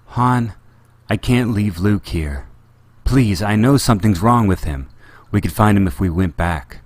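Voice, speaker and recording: A man voicing a desperate, headstrong young princess with a pleading, sharp way of talking. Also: fairly low pitch, animated, a little slow, no echo.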